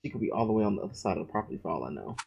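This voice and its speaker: A man's voice: on the low side, very animated, racing, vocal fry, lo-fi sound. A man reading a visual novel aloud, breaking off into profane, slangy joking asides. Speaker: let's play gamer. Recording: loud background noise.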